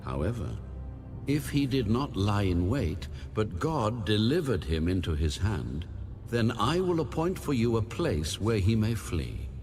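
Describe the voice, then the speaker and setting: A low-voiced man gives a solemn scripted reading of scripture as an audio Bible narrator. His intonation is lively, and music plays clearly behind him. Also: measured pace.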